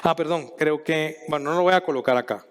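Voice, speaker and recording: A middle-aged man from Guatemala speaking at a conference in a high, light voice. He talks in a measured way, thinking aloud with restarts and pauses. The sound carries a long hall reverb. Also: clear, resonant tone. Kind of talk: conference talk.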